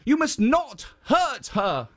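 A storyteller playing a kindly stone statue spirit from a folk tale, speaking in a lifted, gentle voice. The guardian warns slowly and earnestly, each phrase rising and falling. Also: high voice, sing-song, pitched above the performer's own voice, heavily acted. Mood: protective.

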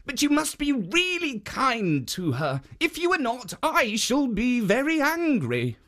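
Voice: high-pitched, huge pitch swings, unhurried, pitched above the performer's own voice, exaggerated voice. A storyteller voicing a kindly, earnest stone guardian. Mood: protective. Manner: gentle, earnest warnings. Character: kindly, protective stone statue spirit.

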